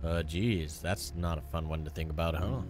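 Low-voiced man reading game story aloud. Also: moderate pace, noisy background. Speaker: playthrough narrator reading game dialogue aloud. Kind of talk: expressive read-aloud of visual novel dialogue. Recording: music behind the voice. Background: game music.

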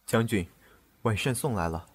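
A man's voice: on the low side, normal speed, husky, no echo. A man playing a deferential, caring soldier who attends his general in an ancient Chinese audio drama. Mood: concerned, deferential. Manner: deferential, solicitous.

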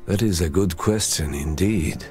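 A man playing a stern, cautious middle-aged father in a video game, gravelly and husky, grave and commanding. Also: low-pitched, measured pace, darkish tone, faint music behind. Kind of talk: cinematic video game acting.

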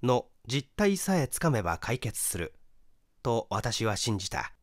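A man's voice: mid-range voice, sing-song, a little slow, dry recording, clean studio sound. A man reading a mystery story aloud as scripted narration. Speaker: audiobook reader, stage actor.

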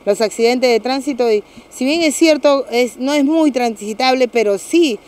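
A middle-aged woman with a Peruvian accent, a municipal security official giving a measured, official statement to reporters outdoors on a street, hesitating with fillers and restarts. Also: sits high, a little mellow. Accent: Peruvian Spanish. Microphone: several handheld press mics.

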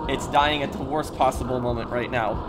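A man's voice: mid-range voice, steady tone, fairly quick. A man giving casual gameplay commentary as the host of a gaming video. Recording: commentary over noisy game sounds.

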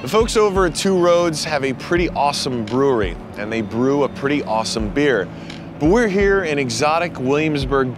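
A man's voice: on the high side, noisy background. A man hosting a tour, chatting casually and jokingly on a large factory floor. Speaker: show host. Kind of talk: on-location tour chat.

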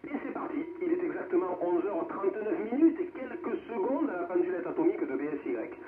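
A man's voice: high-pitched, expressive, fairly quick, a crowd in the background. A man moderating an amateur radio round-table in speech, heard over the air. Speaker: amateur radio net host. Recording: noisy, echoing, narrow-band radio reception.

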